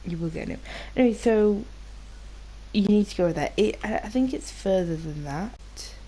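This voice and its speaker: A woman's voice: mid-range voice, expressive, normal speed, dark tone, breathy edge, rough recording. A British woman giving casual, rambling gameplay commentary, informal and sweary, sounding slightly nasal from a cold. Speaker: gaming video host.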